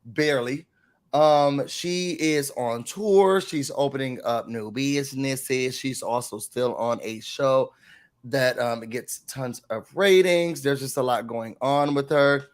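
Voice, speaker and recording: A slightly hoarse man hosting a casual TV recap chat, telling the story animatedly and acting out quotes. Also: medium pitch, slow. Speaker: recap show host.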